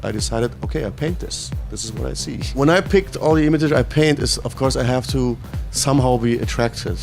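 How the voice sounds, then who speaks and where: Brief: man raised in Germany, gravelly painter, talks in halting bits, faint music under it. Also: medium pitch, darkish tone. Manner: halting, searching for words, rhetorical "right?". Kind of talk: casual interview.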